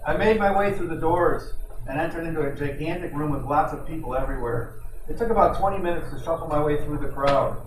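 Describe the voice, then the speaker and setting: Guy playing a wisecracking radio detective, slightly high voice, far off mic in a noisy room. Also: quick pace, natural voice. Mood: wry storytelling. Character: wisecracking male private detective narrating his case. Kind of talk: old-time radio detective drama. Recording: roomy, distant mic, noisy amateur recording.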